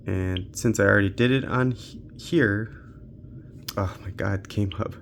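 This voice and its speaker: A man with a somewhat deep voice full of vocal fry gives a casual, unscripted gaming walkthrough. He thinks aloud informally as he goes. There is noticeable noise behind his voice. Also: measured pace. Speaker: tech reviewer hosting a handheld gaming channel. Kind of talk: unscripted casual walkthrough commentary while testing games.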